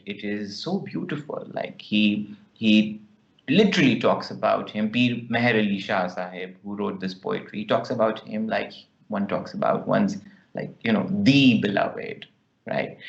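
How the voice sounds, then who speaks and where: A man's voice: lowish voice, vocal fry, roomy sound, muffled recording. A man with a Pakistani English accent, a film director answering at a festival Q&A in a reflective, rambling way full of fillers. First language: Punjabi. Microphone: video call.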